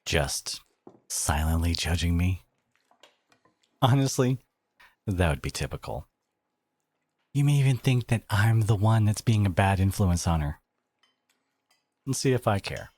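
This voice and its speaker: A man's voice: low-pitched, lively intonation, darkish tone, dry recording. Guy playing protective boyfriend in asmr roleplay audio, sarcastic n emphatic, voice swings a lot, really dry close sound. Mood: indignant, sarcastic. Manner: emphatic, sarcastic, dramatic pauses. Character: protective devoted boyfriend, indignant, sarcastic, tender.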